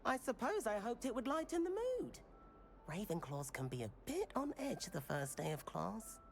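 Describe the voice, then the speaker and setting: Lively, cheeky schoolboy prankster. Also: male voice, sits high, normal speed, creaky, a little hiss or hum, heavily acted. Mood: playful, breezy. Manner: playful, breezy. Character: cheeky, easygoing teenage boy prankster wizard. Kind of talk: scripted game dialogue.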